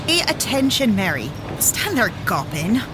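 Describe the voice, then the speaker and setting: An actress playing a brisk, impatient, bossy female mill worker in an audio drama, with old-fashioned working-class phrasing. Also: a little high, very animated, noisy background. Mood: brusque, incredulous.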